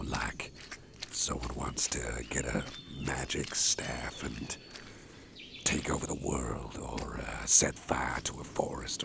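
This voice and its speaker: A man voicing a huge, intimidating male boss who is gruff but protective, chatting and rambling in an ASMR roleplay with a deep, gravelly voice. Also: heavy background noise, exaggerated voice. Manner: rambling, chatty, chuckles to himself. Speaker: boss character carrying the listener. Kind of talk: ASMR roleplay, personal attention.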